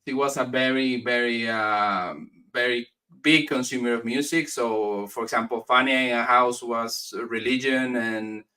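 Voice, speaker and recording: Friendly comic writer guy from spain, bright voice, sounds a bit off mic. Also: mid-range voice, steady tone, distant mic. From Spain, raised in the United States. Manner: friendly, halting with restarts. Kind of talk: casual interview chat.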